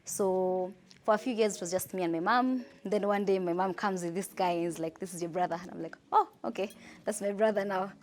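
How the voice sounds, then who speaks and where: A young Kenyan woman with a Kenyan accent, telling her life story in a chatty, animated interview. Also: mid-range voice, fairly quick. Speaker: entrepreneur.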